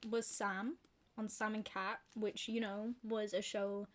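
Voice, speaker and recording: A young woman from Scotland with a softened Glaswegian accent, chatting casually and rambling through a book vlog. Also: medium pitch, little pitch movement, slow. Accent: softened Glaswegian Scottish. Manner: chatty, rambling, self-deprecating, many fillers. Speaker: book vlogger. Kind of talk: casual chat to camera.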